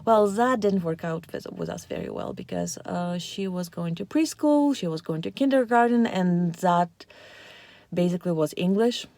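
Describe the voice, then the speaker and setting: Mellow-voiced woman. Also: fairly low pitch, lively intonation, moderate pace, no echo. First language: Russian and Ukrainian. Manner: chatty, unscripted, lots of fillers. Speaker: parenting channel host. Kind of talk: casual talk to camera.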